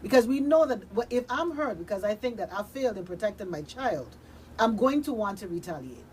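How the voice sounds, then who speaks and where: A woman's voice: mid-range voice, lively intonation, a little fast, darkish tone, low-quality recording. Earnest woman talking over background noise. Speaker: radio drama producer, studio guest. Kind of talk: earnest conversational discussion. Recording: noticeable background noise, room ambience.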